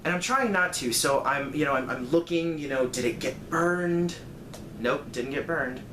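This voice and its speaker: A man's voice: a little high, animated, normal speed. A man telling a personal story casually, in a rambling, conversational way with dramatic emphasis. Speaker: storyteller vlogger. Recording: echoey room, clear background noise.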